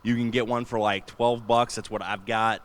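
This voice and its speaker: A man with a booming voice giving an enthusiastic, chatty panel talk in a large convention room. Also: little pitch movement, normal speed. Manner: enthusiastic, chatty, laughs often. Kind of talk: casual panel talk on streaming gear.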